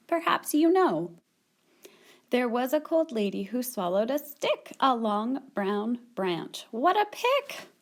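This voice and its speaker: A woman with a very lively, slightly high voice leads a story time for small children. She is sing-song and encouraging, leaving space for little listeners to respond. The sound is roomy and echoing, picked up a little way from the microphone. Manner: sing-song, animated, encouraging, asking questions and pausing for answers. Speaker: children's story time host at a public library. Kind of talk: children's storytelling and singing, addressing young listeners. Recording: roomy echo, distant microphone.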